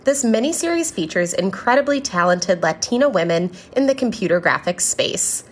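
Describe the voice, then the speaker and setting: A woman reading a polished, scripted show introduction. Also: fairly low pitch. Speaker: show announcer.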